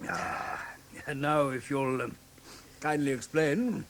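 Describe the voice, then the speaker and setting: An actor in a British radio drama playing a genial, admiring gentleman companion to a detective, hesitant, with an English accent. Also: mid-range voice, slow. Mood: curious. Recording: old radio recording with faint noise.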